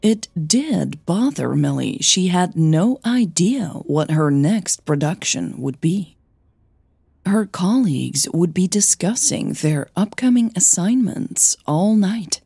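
Husky woman podcast host telling stories, slow n mellow, bit gravelly. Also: lowish voice, expressive, dry recording, studio quality. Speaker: podcast host and audio fiction narrator. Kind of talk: podcast announcements and story narration.

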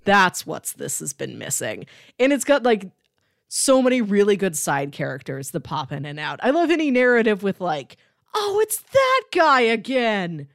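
A woman co-hosting a podcast, chatting casually and giving recommendations with playful, animated asides. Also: medium pitch, normal speed, dry recording, studio quality. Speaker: podcast co-host.

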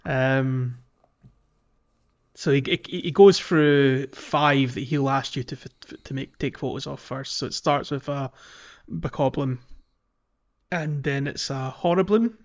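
A man's voice: mid-range voice, unhurried, lo-fi sound. A man co-hosting a gaming podcast, chatting casually about a video game. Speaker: podcast co-host.

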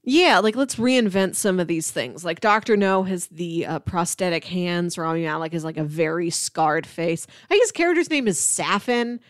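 A woman's voice: lowish voice, lively intonation, normal speed, hardly any pauses, no echo. Casual woman podcaster, close dry sound. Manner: informal, peppers speech with "like". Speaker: podcast co-host. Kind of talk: casual movie discussion.

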